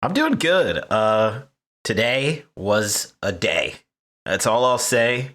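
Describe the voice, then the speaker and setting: A man co-hosting a casual podcast chat, jokey and quick to laugh. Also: mid-range voice, sing-song, fairly bright tone. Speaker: podcast co-host.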